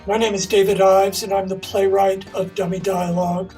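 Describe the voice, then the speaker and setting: A man's voice: sits high, level tone, moderate pace, a little mellow, recorded from a distance, soft background music. A man explaining things as a playwright in an interview.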